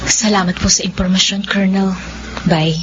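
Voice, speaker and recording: An actress playing a gentle, loving wife in a radio drama, measured and solemn. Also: female voice, mid-range voice, steady tone, music in the background, lightly acted. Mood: grave. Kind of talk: Filipino radio drama acting. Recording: dull lo-fi radio, faint noise.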